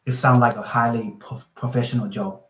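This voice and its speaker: A man chats easily on a video call, filling his talk with "like" and "you know". His voice sits at a middling pitch. It echoes a little in the room through a phone mic. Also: fairly quick. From Thailand. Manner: relaxed, chatty, many fillers like "like" and "you know". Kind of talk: casual video call chat. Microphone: phone on a video call, no external mic. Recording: roomy video call audio.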